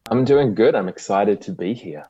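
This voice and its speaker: Deep-voiced Australian man, laid-back. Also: moderate pace, slightly rough, no room sound, highs cut off. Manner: relaxed, chatty, dry humor. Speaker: podcast guest. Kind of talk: casual interview.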